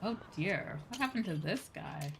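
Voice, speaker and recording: A woman's voice: on the low side, animated, moderate pace, creaky, fairly bright tone, slight background noise. A woman doing casual gameplay commentary, musing aloud to herself and often apologetic. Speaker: streamer playing a video game. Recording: faint game music behind. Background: video game music.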